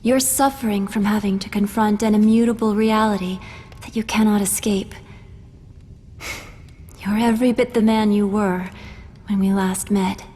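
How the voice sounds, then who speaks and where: An actress playing a wise, compassionate immortal woman in a game cutscene, with a gentle, formal, philosophical manner. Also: medium pitch, fairly even intonation, normal speed, long pauses, husky, lightly acted. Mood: gentle, sincere. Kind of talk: dramatic game cutscene acting. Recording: long hall reverb.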